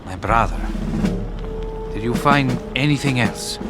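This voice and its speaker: A man's voice: medium pitch, measured pace, music in the background, natural voice. An actor playing an Italian WW2 officer in a video game, worried for his brother, wry, with an Italian accent. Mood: worried, urgent. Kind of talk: video game cutscene acting.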